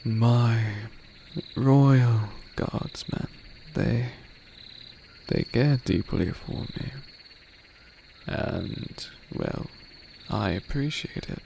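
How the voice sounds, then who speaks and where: An imperious skeleton demon lord played by a man speaks in a heavily creaking murmur, drawing out each formal phrase very slowly. The delivery is theatrical and bored yet playfully menacing, in the intimate manner of fantasy ASMR, over a noisy recording. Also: medium pitch, mellow, full character voice. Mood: playful, wistful.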